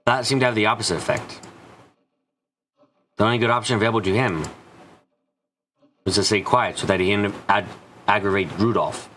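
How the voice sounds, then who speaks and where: A man's voice: on the low side, a bit bright, slight background noise. A man reading scripted story narration for a visual novel fan dub.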